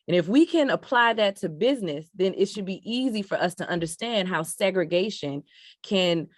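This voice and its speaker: A woman's voice: mid-range voice, lively intonation, moderate pace, no echo, studio quality. A woman speaking as a panelist on a video call, reflective and building her point step by step.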